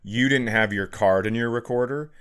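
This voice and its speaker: A man's voice: mid-range voice, moderate pace, fairly bright tone, clean tone. An American man co-hosting a podcast with casual comedic chat in a sardonic, sweary manner. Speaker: podcast co-host.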